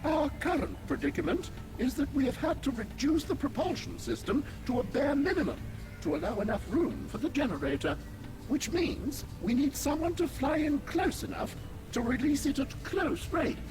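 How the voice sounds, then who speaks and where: A man's voice: very high-pitched, very animated, moderate pace, over music. A man voicing a fussy, formal alien scientist in a video game, speaking in verbose, pedantic phrases. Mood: earnest, explanatory. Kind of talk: scripted video game character acting.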